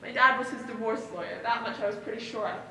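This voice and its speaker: A woman's voice: on the high side, fast talker, a crowd in the background, natural voice. A woman acting a traumatised daughter who recounts a hostage ordeal in a projected, dramatic stage monologue. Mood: wry, tense. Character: traumatised daughter recounting being held hostage with her father. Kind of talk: one-act stage play monologue. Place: indoor stage in a large reverberant hall. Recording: distant echoey hall, some background noise.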